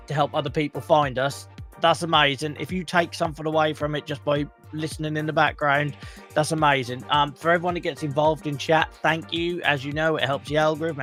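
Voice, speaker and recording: A croaky man hosting a casual livestream talk show, chatting and greeting viewers by name. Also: on the high side, a bit bright.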